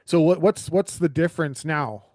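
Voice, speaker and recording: A Canadian man hosts a casual interview with an earnest, rambling delivery full of "like" and restarts. His voice sits a little high. The sound is close and very dry, with no room in it. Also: normal speed, a little mellow, no room sound. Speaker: podcast host.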